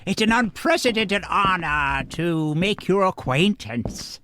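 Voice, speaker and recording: Casting: eager, deferential doorman, very high voice, swooping and gushing, cartoon-style radio drama. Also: animated, slow, sharp tone, a little hiss or hum, exaggerated voice. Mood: cheerful, starstruck. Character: cheerful, eager, starstruck animal doorman.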